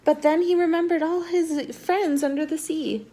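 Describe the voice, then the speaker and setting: A woman reading a storybook aloud to young children in an animated, expressive teacher's manner. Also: very high voice, moderate pace. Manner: animated, asks the listeners questions.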